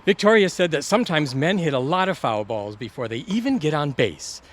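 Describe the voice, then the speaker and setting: Womanizing sports buddy, male actor, higher-pitched and lively, blunt and jokey, audio comedy with faint noise. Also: a little fast. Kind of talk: comedic audio drama acting.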